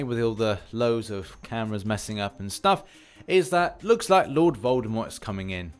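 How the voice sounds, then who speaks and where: A man's voice: lowish voice, clear, resonant tone. A man hosting a gaming news video, talking in a chatty, rambling, conversational way with casual commentary and asides.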